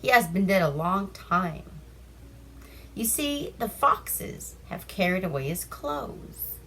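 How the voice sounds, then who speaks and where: A woman's voice: medium pitch, big pitch swings, slow, fairly bright tone, a little hiss or hum, full character voice. A woman voicing an insistent, matter-of-fact female raven in a folk tale. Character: female raven, confident, insistent wife bird.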